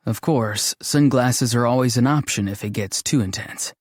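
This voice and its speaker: A gentle, dreamy young man from a fantasy game, performed by a male actor, speaks in a mid-pitched voice. He muses in a deadpan, slightly hesitant and awkward way. The sound is close and dry, with no room around it. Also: moderate pace, no room sound, natural voice. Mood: musing, deadpan. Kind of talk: scripted game character voice lines.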